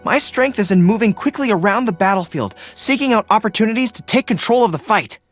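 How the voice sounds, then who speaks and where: A man voicing an earnest, dutiful young dog-eared general in a video game, with crisp military diction. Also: high voice, expressive, fairly quick, soft background music. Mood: earnest, proud. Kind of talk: video game character voice acting.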